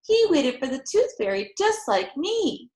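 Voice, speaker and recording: A woman voicing an excitable, talkative little girl from a storybook, bubbly and wide-eyed, with emphatic, exclamatory childlike delivery. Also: high voice, a little slow, echoey room, voice pushed up. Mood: thrilled.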